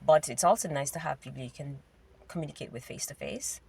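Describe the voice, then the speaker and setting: A woman's voice: low-pitched, expressive, fast, gravelly, slightly rough, recorded from a distance. A Jamaican woman talking casually to camera while driving, musing aloud with many fillers, her voice congested and a bit nasal. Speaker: vlogger. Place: inside a car in city traffic. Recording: loud road noise, mic set back.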